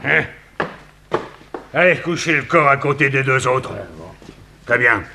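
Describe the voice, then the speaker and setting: A man playing a menacing, excitable gang boss in a 1960s French radio crime serial, bossy and theatrically exasperated. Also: mid-range voice, unhurried, gravelly, noisy background. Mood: gloating, bossy.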